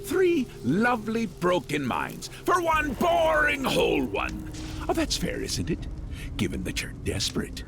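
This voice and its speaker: An actor playing a gleefully deranged, menacing prince of madness in a video game, theatrical and mocking. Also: male voice, high voice, huge pitch swings, a little slow, gravelly, music in the background, exaggerated voice. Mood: scheming, menacing. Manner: theatrical, wildly swinging, mocking.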